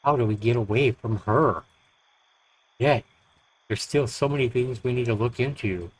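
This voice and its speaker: A man reading a horror story aloud as dramatic narration, with deliberate, expressive phrasing. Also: mid-range voice, poor audio. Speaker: streamer reading a visual novel aloud.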